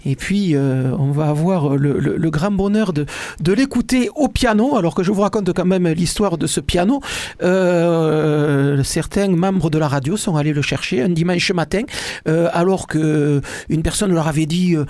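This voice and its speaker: Male radio host, studio mic, higher pitch, repeats himself, dead-dry room. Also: darkish tone, no echo. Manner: halting, repeats words, many fillers. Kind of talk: live radio interview. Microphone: studio microphone.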